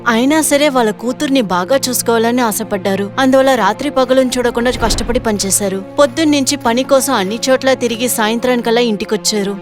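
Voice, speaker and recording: A woman's voice: a little high, quick pace, few pauses, over music. A woman reading a scripted story as its narrator.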